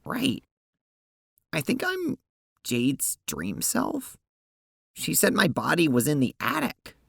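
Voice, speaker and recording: A woman acts out an anguished, blunt teenage sprite girl in an audio drama. Her voice swings dramatically in pitch and often drags slowly into a gravelly creak. She speaks haltingly and with open emotion, recorded close and dry. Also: huge pitch swings, no echo, heavily acted. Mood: confused, hesitant.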